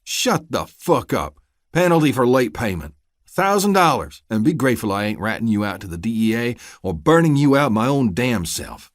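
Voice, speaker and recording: A man's voice: mid-range voice, expressive, normal speed, dry recording, clean studio sound, voice pushed up, close to an everyday voice. A man voicing a brutal, bullying corrupt deputy who threatens and barks orders. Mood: menacing. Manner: menacing, threatening.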